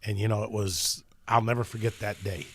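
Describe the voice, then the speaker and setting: A man with a deep voice, a racetrack promoter talking in casual, plainspoken radio chat with folksy grammar. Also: steady tone, a little fast.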